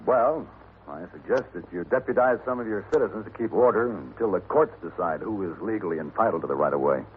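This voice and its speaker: Man playing a deep-voiced, cool Western gunfighter. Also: lively intonation, lightly acted. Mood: firm. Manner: wry, unhurried, self-assured. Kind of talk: 1950s Western radio drama acting and narration. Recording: muffled, hissy old radio recording.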